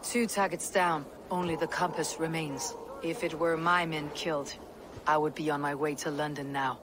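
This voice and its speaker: A woman's voice: deep voice, steady tone, normal speed, lightly acted. Woman acting a stern Viking warrior over noisy ambience. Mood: grim resolve. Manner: terse, confident. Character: stern, self-assured Viking warrior, bold and defiant. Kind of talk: dramatic video game acting.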